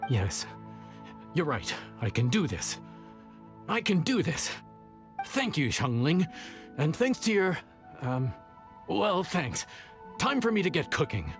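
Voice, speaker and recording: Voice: mid-range voice, big pitch swings, slow, pauses often. An actor voicing a nervous, self-doubting young male chef in a video game, hesitant and stammering, with music behind. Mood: resolving, hesitant. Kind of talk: English video game voice acting.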